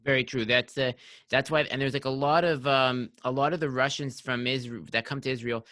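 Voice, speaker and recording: A man of about thirty hosts a podcast, rambling through his questions with constant likes and restarts. His voice is bright and forceful, and the sound is close and dry, with no room echo. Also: mid-range voice, steady tone, normal speed. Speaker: podcast host. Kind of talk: casual interview chat.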